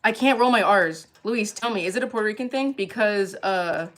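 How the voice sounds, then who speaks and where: A woman's voice: mid-range voice, a little fast. A young woman hosting a livestream, chatting casually and giggling while she cooks and answers viewer comments. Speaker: livestream cooking host.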